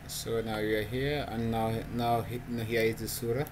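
A man talks through a coding tutorial while recording his screen, stumbling and repeating words as he goes. His voice sits at an ordinary middle pitch. A steady layer of noise runs under the recording. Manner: halting, repeats words, non-standard English phrasing. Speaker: programming tutorial host. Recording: noisy home recording.

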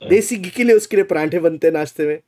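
A man's voice: on the high side, quick pace, darkish tone. A man, bantering casually on a game livestream in a teasing, mock-indignant, exclamatory manner. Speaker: game streamer. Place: small room indoors.

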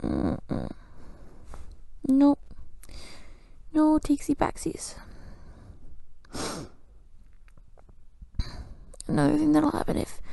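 A woman's voice: high voice, vocal fry, mellow, dry recording, exaggerated voice. A woman playing a clingy, playfully bossy girlfriend in an improvised ASMR roleplay, murmuring drowsily close to the mic and often dropping to a whisper. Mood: playfully threatening. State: sleepy.

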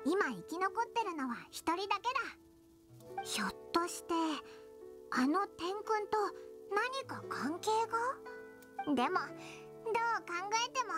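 A tiny floating game fairy with an extremely high, squeaky child's voice that swoops up and down. The little companion is curious and excitable, talking in blunt boyish phrases over game music. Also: female voice, unhurried, heavily acted. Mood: pondering. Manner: rough boyish sentence endings. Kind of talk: Japanese anime game acting.

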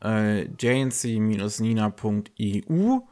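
Low-voiced man with a slight cold. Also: animated, slow, warm tone. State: slightly congested with a lingering cold. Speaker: podcast co-host. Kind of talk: casual news podcast talk.

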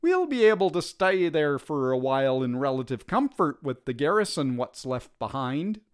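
A man voicing an uneducated, wary British soldier with rough grammar in a storybook reading. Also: a little high, sing-song, moderate pace. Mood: scheming. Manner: rough ungrammatical speech.

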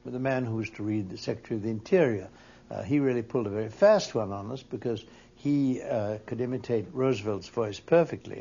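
A man's voice: mid-range voice, a little mellow, slightly rough. A man giving a reflective interview recollection in a hesitant manner. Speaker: interviewee, radio producer. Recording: dull, muffled interview recording.

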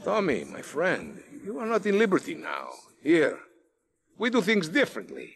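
An actor playing a suave, courteous older Latin American colonel in a video game, with a Spanish accent and formal, theatrical phrasing. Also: male voice, high-pitched, wildly swooping pitch, normal speed, heavily acted. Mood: smooth, firm. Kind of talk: video game cutscene acting.

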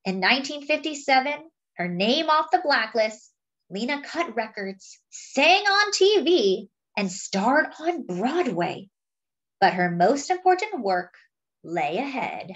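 A woman reading a picture book aloud, with expressive, dramatic phrasing. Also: high voice, takes it slowly, lo-fi sound. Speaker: storybook reader.

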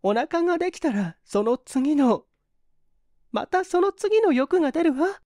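A man's voice: very high-pitched, sing-song, a little slow, darkish tone, dry recording, clean studio sound, pitched above the performer's own voice, heavily acted. A man voicing a desperate, poor young woman in a storybook reading, tearful and defiant with polite feminine speech. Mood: tearful, fearful. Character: desperate, sharp-tongued hungry young woman in shabby clothes.

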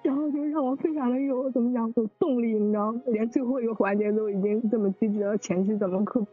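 Chatty woman hosting group chat, talks fast, kinda husky, music in background. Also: fairly high pitch, narrow, tinny audio. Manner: chatty, prompting others with questions. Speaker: host of a drama cast discussion.

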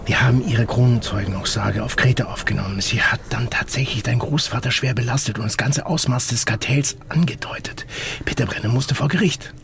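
An actor playing a gruff, guarded detective and father in a German audio drama, with a gravelly voice and an urgent manner. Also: medium pitch, fairly quick, fairly bright tone, a little hiss or hum. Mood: grave, explaining.

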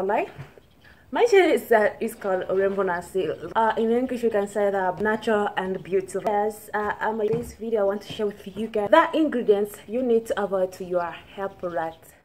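A young woman from Tanzania with a Tanzanian accent, Swahili her first language, talking to camera about hair care in careful, halting English with many restarts. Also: medium pitch, a little mellow, a little hiss or hum. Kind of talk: casual talk to camera. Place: indoors, plain plastered wall.

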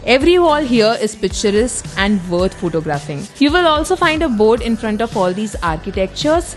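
Travel vlogger, female, young adult, Indian accent, scripted delivery, higher pitch, roomy recording. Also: sing-song, fairly quick. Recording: roomy, music underneath. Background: background music.

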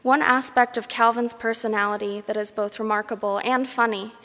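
A young woman, a graduating student, gives a prepared commencement speech in a measured, formal way. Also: a little high, steady tone, fairly bright tone. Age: young adult. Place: large concert hall, speaking at a graduation ceremony. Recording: echoey large hall PA.

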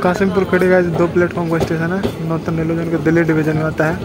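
A man's voice: monotone, quick pace, over loud music, over a noisy crowd, poor audio. A man giving casual travel vlog commentary from inside a moving train. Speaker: railfan vlogger and host. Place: inside a moving train by an open window or door. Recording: loud rattling train noise throughout. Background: loud train rumble and rattle.

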